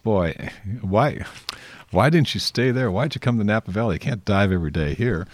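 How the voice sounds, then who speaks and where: Male host, low voice, dry close sound, friendly and chatty questioning. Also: lively intonation, moderate pace, no room sound. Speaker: interviewer, host. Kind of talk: casual interview conversation.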